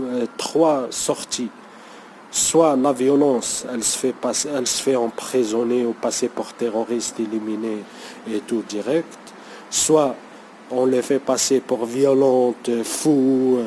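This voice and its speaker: Slow, hesitant non-native man. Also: mid-range voice, mellow, slight background noise. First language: not French. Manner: hesitant, full of fillers and restarts, keeps saying "you see". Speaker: vlogger. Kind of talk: unscripted talk to camera.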